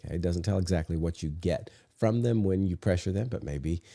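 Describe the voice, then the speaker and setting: A man's voice: sits low, brisk, vocal fry, mellow, no echo, clean studio sound. A man hosting a podcast with a sick, congested voice, chatting casually and reading text aloud with many filler "uh"s. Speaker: podcast host.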